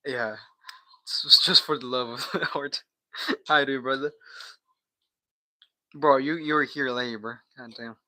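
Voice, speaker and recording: Male livestreamer from Guatemala, Spanish native. Very sharp, bright tone, mid pitch, slangy and loose with swearing. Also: fairly even intonation, unhurried, pauses often, no room sound, low-quality recording. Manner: slangy, bro-heavy, swearing. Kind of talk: casual livestream chat.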